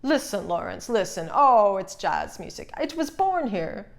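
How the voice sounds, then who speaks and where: A woman's voice: mid-range voice, sing-song, a little slow. A woman voicing a confident, charming young prince with courtly phrasing in a storybook reading. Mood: delighted.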